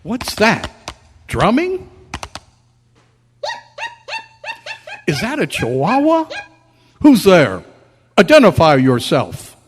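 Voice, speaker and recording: A man playing a dutiful little teddy bear colonel with a measured, theatrical military manner in a radio play. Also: high voice, huge pitch swings, a little hiss or hum, exaggerated voice. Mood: startled, commanding. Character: foot-tall teddy bear colonel, dutiful, weary traveller. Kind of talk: old-time radio stage play. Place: convention meeting hall. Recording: echoey hall, long reverb.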